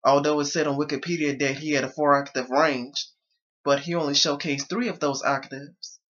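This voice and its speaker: A man's voice: a little high, steady tone, moderate pace, fairly bright tone, muffled recording. A young man talking casually to his viewers from his bedroom, his voice a little strained. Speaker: vlogger. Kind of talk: casual talk to camera. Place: small bedroom indoors.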